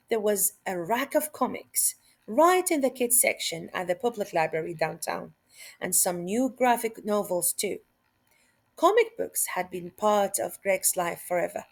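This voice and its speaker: A woman reads a story aloud as a lesson for children. Her pace is slow and deliberate, at a middling pitch. She stresses key words and adds brief teacherly asides. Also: darkish tone.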